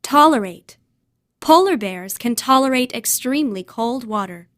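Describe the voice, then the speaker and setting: A woman's voice: fairly high pitch, expressive, measured pace, a bit bright. A woman reading words and example sentences aloud in a scripted educational style as a vocabulary narrator.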